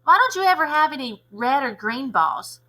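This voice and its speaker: A woman's voice: high-pitched, sing-song, normal speed, pushed and bright, voice pushed up, full character voice, low-quality recording. A woman voicing a stubborn, eager schoolgirl in a storybook, pleading and complaining. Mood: whiny, pleading. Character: stubborn, eager schoolgirl who wants a green ball.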